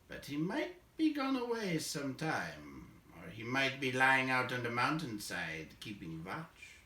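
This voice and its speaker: A man voicing a sad, grim and wary old dwarf from a storybook, speaking slowly and solemnly. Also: medium pitch, sharp tone, heavily acted. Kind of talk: storybook character voice in read-aloud. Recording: roomy echo, distant microphone.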